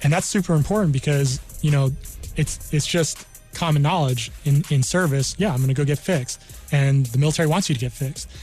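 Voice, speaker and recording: A man's voice: a little high, brisk, a little mellow, faint music behind. A man working as an army career counselor, explaining things conversationally as a radio guest and often saying "you know.". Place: radio studio.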